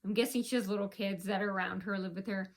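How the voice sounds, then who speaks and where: A woman's voice: mid-range voice, fairly even intonation, fast. A woman vlogger talking straight to her viewers in a long, rambling monologue, full of fillers and restarts.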